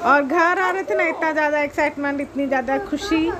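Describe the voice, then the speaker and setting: A woman in her thirties narrating her train trip for her vlog viewers in a chatty, excited way, talking straight to them. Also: sits high, a little fast, noisy background. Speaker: travel vlogger. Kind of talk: casual vlog voice-over.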